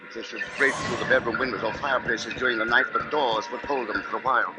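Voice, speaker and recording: An actor playing a grave, resolute father, narrating in measured, formal 1950s radio drama style. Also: medium pitch, steady tone, heavy background noise, close to an everyday voice. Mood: grim, resolute. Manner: measured, formal, literary delivery. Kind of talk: 1950s radio drama acting, scripted first-person narration. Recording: old 1950s radio broadcast, music behind.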